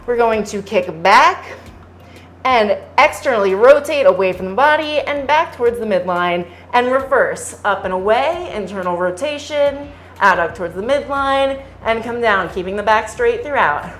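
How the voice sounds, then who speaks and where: A woman fitness instructor giving calm step-by-step exercise cues, her voice slightly hoarse from singing at a concert. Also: a little high, normal speed, a little hiss or hum. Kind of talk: guided workout cueing.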